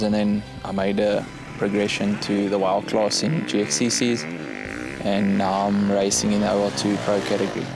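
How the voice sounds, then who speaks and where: Male racer, 20s, South African accent, lowish even voice, clear music bed. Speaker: motorcycle racer. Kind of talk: casual interview.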